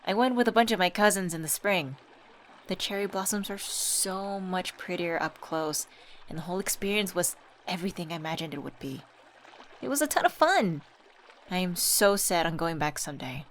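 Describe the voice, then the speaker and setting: A woman's voice: lowish voice, lively intonation, normal speed, fairly bright tone, slight background noise. A young woman acting as a teasing, affectionate old friend in a casual ASMR comfort roleplay. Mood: fond, casual. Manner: playful, teasing, familiar slangy chat.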